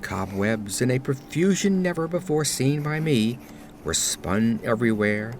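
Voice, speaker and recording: A man giving a dramatic, solemn and deliberate narration of a gothic horror story in an old-fashioned literary style. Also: medium pitch, expressive, a little hiss or hum. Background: atmospheric ambience.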